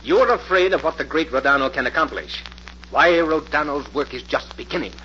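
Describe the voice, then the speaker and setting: A muffled, hissy old radio recording carries a mad scientist with a sharp, forceful voice. He is arrogant and cruel, declaiming his own greatness with theatrical, fanatical self-importance in vintage radio-play style. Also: on the high side, lively intonation, fairly quick. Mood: grandiose, scornful. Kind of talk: 1940s radio drama acting.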